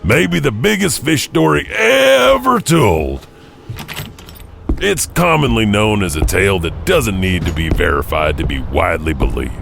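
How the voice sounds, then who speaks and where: Male horror-legend host: low, creaky, theatrical, over noticeable rumbling noise. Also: big pitch swings, unhurried, a bit bright. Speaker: storytelling host. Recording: clearly noisy background rumble.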